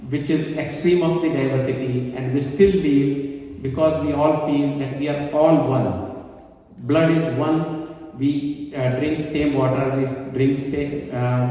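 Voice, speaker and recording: A man's voice: medium pitch, mellow. A man giving an earnest short speech at a community event, with some fillers. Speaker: community speaker. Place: community center hall. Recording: echoey hall, distant mic.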